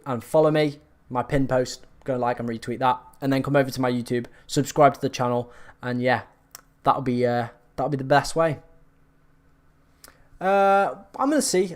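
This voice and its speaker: A man on a live stream, talking casually and answering viewers as their comments come in. His voice is a touch hoarse after hours on air, and it sits at an ordinary middle pitch for a man. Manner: chatty, reacting to viewer comments. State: slightly hoarse from long talking.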